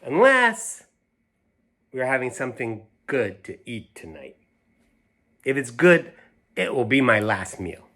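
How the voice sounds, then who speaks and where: An indignant little girl from a picture book read aloud by a man in his own middle register. The delivery is emphatic and deliberate, full of stubborn, self-righteous drama. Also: medium pitch, sing-song, fairly bright tone, exaggerated voice. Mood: stubborn, dramatic.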